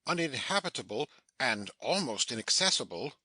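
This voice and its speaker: Man as a bright-voiced, earnest courtier. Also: mid-range voice, sing-song, normal speed, breathy edge, dry recording, heavily acted. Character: earnest, pleasant male courtier. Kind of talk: dramatic Shakespeare audiobook reading.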